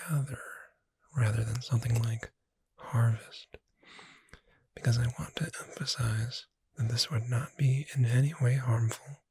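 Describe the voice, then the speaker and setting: A performer voicing a friendly witch bookseller in a soft-spoken ASMR roleplay, gentle, unhurried and lightly wry in a breathy near-whisper. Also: medium pitch, dry recording, full character voice.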